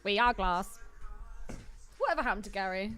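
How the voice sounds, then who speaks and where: A woman's voice: mid-range voice, expressive, normal speed, fairly bright tone, soft background music. A woman co-hosting a casual movie podcast, chatty and ready to argue her point. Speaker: podcast co-host.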